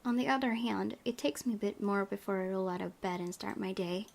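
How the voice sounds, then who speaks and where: A woman hosting a gaming video, reading story text aloud and chatting informally about it with expressive reactions. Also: mid-range voice, a little fast, creaky. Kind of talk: reading a game's narration aloud with casual commentary.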